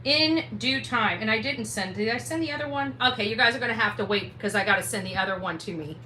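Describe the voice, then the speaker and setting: Woman streamer ranting, real combative and repetitive, bright piercing voice, echoey room w a bit of noise. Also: a little high, fast talker. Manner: combative, indignant, emphatic, repetitive. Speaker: livestream host. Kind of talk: livestream commentary rant. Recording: roomy echo, faint background noise.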